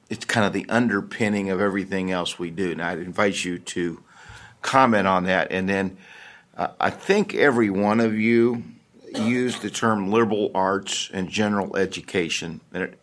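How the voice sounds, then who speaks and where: A man chairing a formal board meeting, speaking in a measured way with frequent restarts. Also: low-pitched, a bit bright.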